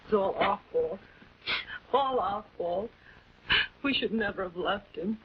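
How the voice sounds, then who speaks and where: A woman's voice: medium pitch, slow, natural voice. A woman playing a distraught, guarded wife and guardian in a late-1940s television drama, speaking emphatically and repeating herself. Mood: distraught, guilty. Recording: dull, noisy 1940s film soundtrack.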